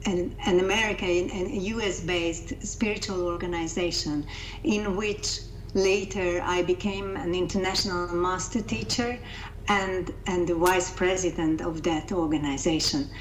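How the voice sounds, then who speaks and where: Slow, measured Hungarian-accented woman. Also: on the low side, steady tone, slight background noise, rough recording. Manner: measured, thoughtful, repeats words while searching for phrasing. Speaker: interview guest, coaching founder. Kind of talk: reflective interview answers.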